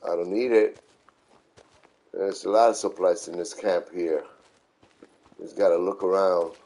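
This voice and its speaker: A middle-aged man giving casual, unhurried live game commentary, repeating himself as he explains. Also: low-pitched, steady tone, mellow. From New York City, United States. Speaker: video game streamer.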